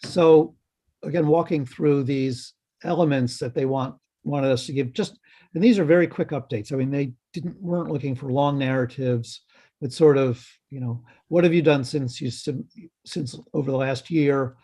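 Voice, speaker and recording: A man's voice: mid-range voice, mellow. Hesitant man on a video call. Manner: halting, many ums and restarts. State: slightly hoarse. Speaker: health department staff presenter. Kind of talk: committee meeting update.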